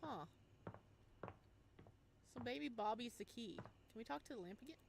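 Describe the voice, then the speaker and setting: A woman doing playful, expressive gameplay commentary, slipping between reading text aloud and exclaiming in singsong asides. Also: on the high side, unhurried, creaky, noisy background. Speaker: game streamer.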